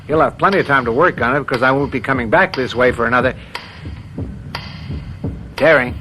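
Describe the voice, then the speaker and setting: An actor in a radio drama playing a plain-spoken, practical warden who is easily bewildered. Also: male voice, mid-range voice, brisk, sharp tone, slight background noise, natural voice. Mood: matter-of-fact.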